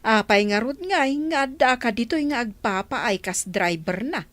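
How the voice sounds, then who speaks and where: A woman's voice: a little high, expressive, measured pace, fairly bright tone, dry recording. A woman with an Ilocano accent playing a firm, moralizing older woman in a radio drama. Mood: scolding.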